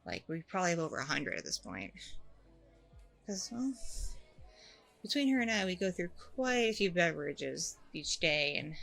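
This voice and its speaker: A woman playing a chatty, candid, self-deprecating single mom on a blind date in a casual audio roleplay, rambling informally with a creaky voice. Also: on the low side, very animated, normal speed, pauses often, fairly bright tone, faint music behind, exaggerated voice. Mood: friendly, chatty. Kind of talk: ASMR audio roleplay, casual conversational acting.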